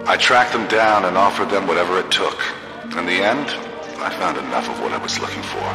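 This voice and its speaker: Casting: grizzled rebel commander for game cutscenes, low voice with big swings, terse and wry, world-weary. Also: male voice, sing-song, brisk. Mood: grave, reminiscing. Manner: terse, world-weary, matter-of-fact storytelling. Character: weary veteran rebel squadron commander, guarded, wry, male. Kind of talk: dramatic scripted cutscene narration. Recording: echoey hall reverb, loud game music.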